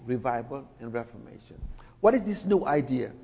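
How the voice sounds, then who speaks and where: A middle-aged man from Senegal who grew up in France, giving a talk in a relaxed, conversational way with many fillers and asides. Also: mid-range voice, a little fast, gravelly, husky. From Senegal, raised in France. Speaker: speaker, church representative. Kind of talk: sermon-style lecture. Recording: dull sound with long hall reverb.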